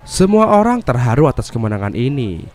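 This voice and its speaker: Indonesian male recap narrator: congested nasal voice, animated pitch, mellow tone, casual slang. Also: fairly quick. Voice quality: nasal, stuffy-nosed. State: unwell, congested. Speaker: host and narrator of film recap videos.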